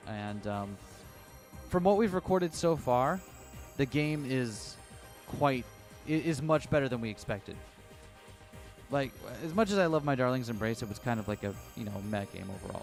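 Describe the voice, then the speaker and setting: Slightly raspy male streamer reading aloud. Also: medium pitch, normal speed, soft background music. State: voice getting a little raspy. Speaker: game streamer. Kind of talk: reading a visual novel aloud and chatting on stream. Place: hot room at home.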